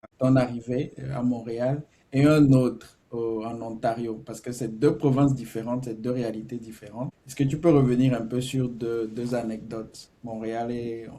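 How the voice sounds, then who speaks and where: Mellow-voiced male podcast host. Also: mid-range voice, steady tone, normal speed. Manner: warmly engaged, hesitant phrasing with restarts. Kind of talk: interview questions.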